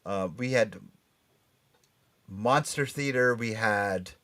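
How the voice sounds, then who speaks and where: A middle-aged American man hosting a casual podcast chat, often halting and repeating words as he steers between topics. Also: fairly low pitch, a bit bright. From Connecticut, United States. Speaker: podcast host.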